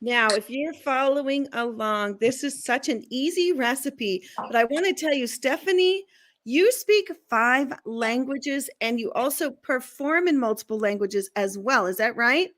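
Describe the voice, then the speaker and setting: A woman's voice: high-pitched, expressive, a little slow, a bit bright. A woman hosting a live show, upbeat and enthusiastic as she asks her guest questions. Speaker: show host.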